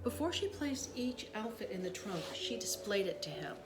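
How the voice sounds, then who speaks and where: A woman reading a children's book aloud as an expressive storyteller, with dramatic pauses and brief asides. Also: mid-range voice, noisy background. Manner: animated, dramatic pauses, acts out dialogue.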